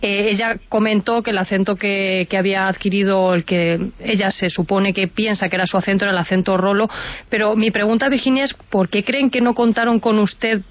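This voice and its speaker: A woman's voice: mid-range voice, fairly even intonation, brisk, no room sound. A woman correspondent on a radio show, putting a long, carefully built question to a guest. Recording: dull radio broadcast sound.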